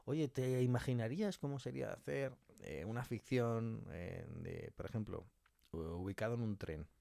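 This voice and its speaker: A man's voice: medium pitch, sing-song, normal speed, creaky, warm tone, no room sound, clean studio sound. A middle-aged man with an accent from Spain, answering reflectively in a conversational interview, thinking aloud through examples. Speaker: interviewed audio fiction writer and director.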